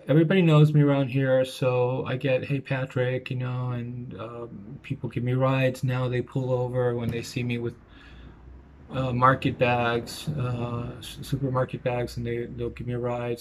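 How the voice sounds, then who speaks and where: Gravelly reflective man rambling. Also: medium pitch. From United States. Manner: rambling, reflective, many fillers and restarts. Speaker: vlogger. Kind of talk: casual personal monologue. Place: outdoors near a bus stop in a small Italian town.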